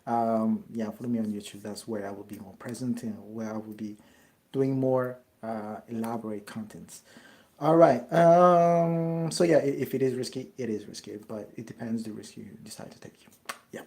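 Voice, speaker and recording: A man's voice: medium pitch, normal speed, mellow. A young man from France with a French accent, answering viewer questions in a casual monologue, with many fillers and restarts. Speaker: network marketing creator answering questions.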